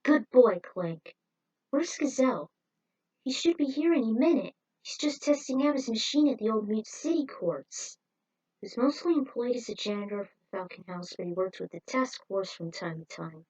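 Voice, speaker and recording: A woman playing a steady, genial older man who runs a diner, speaking in a measured, plain way for a story. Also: fairly high pitch, breathy edge, far from the mic, highs cut off. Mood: genial, matter-of-fact.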